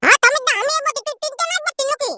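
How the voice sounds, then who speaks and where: A man's voice: extremely high pitch, racing, loud music behind the voice. A man voicing a pestering young village pal in a comic cartoon, with an insistent, nagging manner and a Purulia accent.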